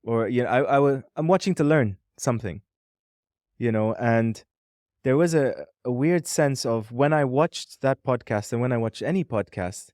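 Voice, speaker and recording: A man's voice: mid-range voice, normal speed, a little mellow, dry recording, studio quality. A man co-hosting a podcast, reminiscing in a thoughtful, meandering way with frequent "um"s. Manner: thoughtful, rambling with many "um"s. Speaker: podcast co-founder and co-host. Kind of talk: casual podcast conversation.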